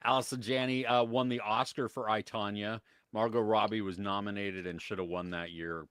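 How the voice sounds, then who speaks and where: A man's voice: lowish voice, fairly even intonation, normal speed, fairly bright tone, smooth, no room sound, studio quality. An American man co-hosting a live podcast, chatting casually about movies and reading viewer comments, with lots of fillers and frequent laughs. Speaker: podcast co-host. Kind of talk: casual live-stream chat.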